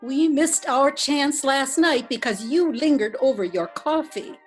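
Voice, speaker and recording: A woman playing a modest, elderly widow, mild and gently reproachful, in a readers theatre play. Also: sits high, unhurried, faint music behind.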